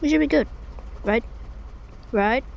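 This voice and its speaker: Excitable high-voiced woman gaming. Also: slow pace, gravelly, lo-fi sound. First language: Thai. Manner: excitable, exclamatory, repeats short cries. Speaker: gamer playing a storm-chasing game. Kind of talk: live gameplay commentary.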